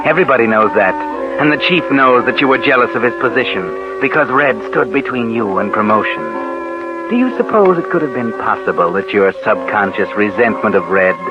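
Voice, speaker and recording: A man's voice: medium pitch, close to an everyday voice. An actor playing a sinister suspense narrator on 1940s radio, needling and insinuating like a man's guilty conscience. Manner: insinuating, taunting second-person address. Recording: dull 1940s radio, loud music behind.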